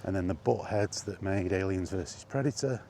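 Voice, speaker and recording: A man's voice: low-pitched, moderate pace, mellow, a little hiss or hum. A British man giving a casual, rambling, blunt and exasperated monologue as a film vlogger.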